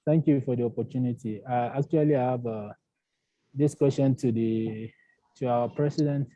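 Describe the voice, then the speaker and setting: A man's voice: mid-range voice, steady tone, moderate pace, mellow, dry recording, highs cut off. A man on a video call asking a question at a meeting, stammering and repeating words. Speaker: meeting participant.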